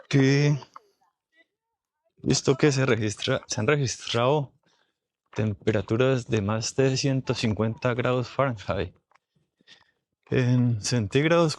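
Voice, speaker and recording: Hoarse man vlogging outdoors. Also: mid-range voice, no echo. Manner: chatty, thinking aloud to viewers. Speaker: travel vlogger. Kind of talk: casual on-location vlogging. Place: outdoors in a desert national park.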